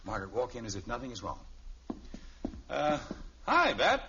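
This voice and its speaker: Blustering fifties American radio father, stubborn and emphatic, very animated, on a muffled, hissy old recording. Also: mid-range voice, moderate pace, exaggerated voice. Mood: sly, probing. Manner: gruff, indignant, emphatic. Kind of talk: 1950s radio sitcom acting.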